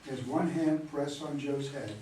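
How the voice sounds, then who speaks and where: A man's voice: fairly high pitch, steady tone, normal speed, dark tone. A man reading out a play's cast list and stage directions as scripted narration, at some distance in a roomy space. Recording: roomy, distant mic, faint noise.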